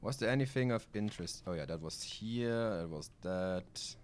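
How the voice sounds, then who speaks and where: A man with a German accent and a very low voice talks through a video game on a live stream. A native German speaker, he moves between reading game text aloud and loose, sweary asides in a casual, rambling way. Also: animated, moderate pace.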